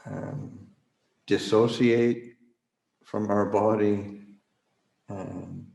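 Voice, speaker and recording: A man leading a guided meditation as a Buddhist teacher, speaking haltingly with long pauses as he searches for words. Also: on the low side, fairly even intonation, vocal fry, darkish tone. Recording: roomy, echoing livestream recording.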